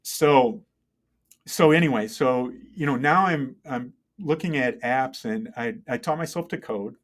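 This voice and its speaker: A middle-aged man answers as a guest in a conversational interview. His voice sits at a medium pitch. He talks haltingly, thinking aloud as an engineer and entrepreneur would when explaining, and often checks that the listener follows. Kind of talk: conversational interview, telling career story.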